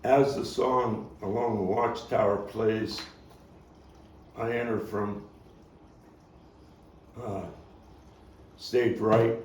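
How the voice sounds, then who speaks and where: A man talking through the stage directions of a play in a halting manner, as its playwright and actor. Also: fairly low pitch, fairly even intonation, a little mellow. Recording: roomy, noisy, distant microphone.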